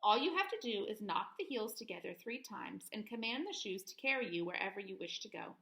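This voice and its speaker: A woman voicing a kindly young good witch from a storybook in a gentle, gracious manner. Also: medium pitch, animated, fairly quick, gravelly, reverberant. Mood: reassuring, wise.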